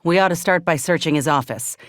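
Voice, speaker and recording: A woman's voice: sits low, fairly quick, dry recording, close to an everyday voice. Woman acting grim soldier outcast, studio-dry. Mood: grim, resolute. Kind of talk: video game character dialogue.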